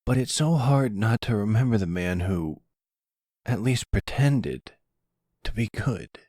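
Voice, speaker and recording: An actor playing a sensitive, defensive college-age son in an audio roleplay, talking to his mother in a halting, boyish way with stammers. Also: fairly low pitch, expressive, darkish tone, dry recording, studio quality. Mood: sad, vulnerable. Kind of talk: ASMR audio roleplay acting.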